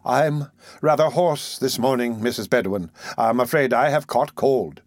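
A man voicing a warm-hearted, flustered old gentleman, hoarse and gruff, halting and clearing his throat. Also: mid-range voice, no room sound, studio quality, natural voice. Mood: gruff, tender.

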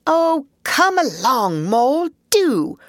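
A woman voicing a cheerful, brisk male water rat who calls out in a hearty, hurried way. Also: high-pitched, sing-song, no room sound, clean studio sound, voice pushed up, exaggerated voice.

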